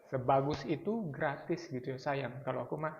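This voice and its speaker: Guy from sumatra musing about a trip, mid pitch, tossing in english bits, kinda dull lo-fi audio. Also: normal speed, darkish tone. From Sumatra, Indonesia. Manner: thoughtful, musing, drops English phrases. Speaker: traveller sharing impressions. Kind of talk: casual chat. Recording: dull lo-fi sound.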